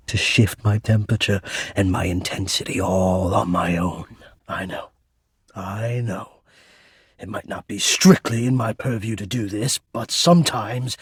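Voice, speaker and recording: A man voicing a shy, devoted, lovesick home heating system for a romance video game, speaking in a halting, intimate and earnestly formal way. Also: on the low side, slightly rough, dry recording, clean studio sound, full character voice.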